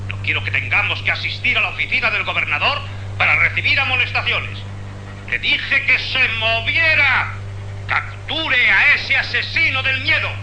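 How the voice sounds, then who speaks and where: Furious high-pitched police commissioner. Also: male voice, lively intonation, moderate pace, pushed and bright, full character voice. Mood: angry, scolding. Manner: scolding, imperious. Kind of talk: radio drama acting. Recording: buzzy telephone line with faint hum.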